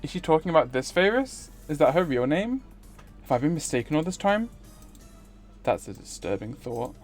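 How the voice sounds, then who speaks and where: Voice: medium pitch, sing-song, moderate pace, faint music behind. A streamer reading a self-important, grumbling young man from a visual novel. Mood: impatient.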